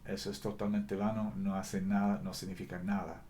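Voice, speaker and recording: A man preaching a sermon in a language that is not his first, earnest and deliberate, often correcting himself mid-sentence. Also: sits low, level tone, warm tone, a little hiss or hum. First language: not Spanish.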